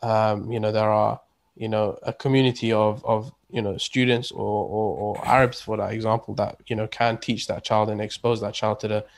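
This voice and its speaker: A man's voice: lowish voice, level tone, normal speed, creaky. A man talking casually as a guest, rambling with many restarts and fillers. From England. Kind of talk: casual conversation.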